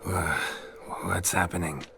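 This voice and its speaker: An actor playing a gruff, stoic monster hunter in a video game, terse, low-key and defiant. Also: male voice, fairly even intonation, measured pace, pushed and bright, a little hiss or hum, heavily acted. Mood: wary. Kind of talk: understated video game acting.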